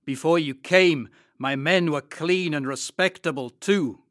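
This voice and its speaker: A man's voice: a little high, unhurried, fairly bright tone, no echo, studio quality. A man voicing an angry, brutal male sea captain who snarls insults in a storybook reading. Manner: snarling, contemptuous.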